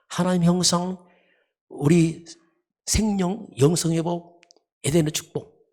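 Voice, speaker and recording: A pastor giving a sermon in an emphatic, repetitive teaching manner, often posing rhetorical questions, with a husky voice. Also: medium pitch, darkish tone. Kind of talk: dawn service sermon.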